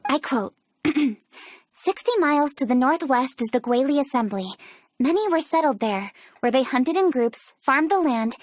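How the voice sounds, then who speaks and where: High-voiced, poised young noblewoman. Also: no echo. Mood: measured, reading. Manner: formal, articulate, matter-of-fact. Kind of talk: video game voice acting.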